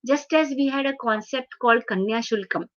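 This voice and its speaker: A woman from India with an Indian English accent and Marathi as her first language, lecturing on translation. She talks haltingly, with many fillers and restarts. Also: on the high side, fairly bright tone, highs cut off. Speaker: webinar speaker on translation. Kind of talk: lecture with audience questions. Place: video call webinar.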